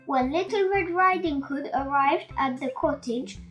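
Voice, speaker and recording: A woman reading a children's bedtime story aloud with slow, deliberate enunciation and hosting a quiz on it. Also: high-pitched, fairly bright tone, soft background music.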